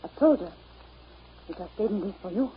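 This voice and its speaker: A woman's voice: mid-range voice, expressive, a little fast, close to an everyday voice. A woman playing the anxious wife of a German professor in a 1930s radio drama. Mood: alarmed. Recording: muffled, faintly noisy 1930s radio recording.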